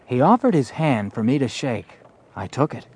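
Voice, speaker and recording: A man's voice: medium pitch, big pitch swings, moderate pace, a little mellow, breathy edge. An actor playing an earnest fourteen-year-old boy in a western, telling his story in a plainspoken, hesitant, thoughtful way. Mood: reflective. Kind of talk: first-person dramatized audiobook narration and dialogue.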